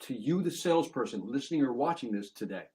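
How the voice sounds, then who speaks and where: A man's voice: medium pitch, animated, fast, darkish tone. A man hosts a sales podcast, with a voice sitting in an ordinary mid range. He talks loosely and conversationally, restarting his sentences as he goes, and lays his thoughts out in numbered points. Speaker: podcast host. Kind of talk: podcast interview.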